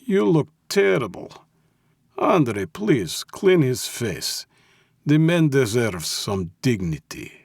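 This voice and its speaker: A male narrator plays a suave Russian crime boss in an audiobook thriller. He speaks with a clipped Russian accent, raised in pitch and drawn out slowly. His politeness is condescending and menacing, and the sound is close and dry. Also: big pitch swings, vocal fry, husky, no room sound, heavily acted. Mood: pleasant, commanding. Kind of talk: audiobook character acting.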